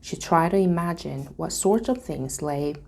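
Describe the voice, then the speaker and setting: A woman reading a storybook aloud as narration, in a careful, deliberate manner. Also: fairly low pitch, lively intonation, gravelly, a little mellow. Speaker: reader.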